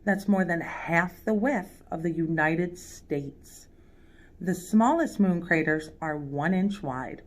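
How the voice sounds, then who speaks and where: A woman reading a children's picture book aloud as a storytime reader, addressing young listeners directly. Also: fairly low pitch. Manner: pauses to ask young listeners questions.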